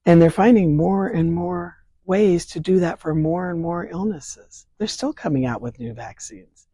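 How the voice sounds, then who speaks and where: An older woman with a hoarse voice talks through health questions in an earnest, plain-spoken way, reaching for simple analogies. Her tone is mellow and soft-edged. The sound is dry and close, with no room around it. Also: fairly low pitch, unhurried, dry recording. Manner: earnest, explains with vivid analogies. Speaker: state leader of a vaccine advocacy group. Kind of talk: explanatory interview answers. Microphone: remote interview from home.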